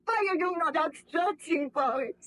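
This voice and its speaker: An actress playing an impassioned, reproachful young fiancee in a melodramatic, formal 1930s radio drama style. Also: female voice, extremely high pitch, normal speed, fairly bright tone. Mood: bitterly accusing. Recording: muffled old radio drama recording.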